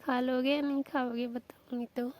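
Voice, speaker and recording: A woman's voice: high-pitched, level tone, moderate pace, darkish tone, natural voice. A young woman playing an apologetic, affectionate newly married wife in a casual staged phone-call skit, explaining herself earnestly. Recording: noisy phone call recording.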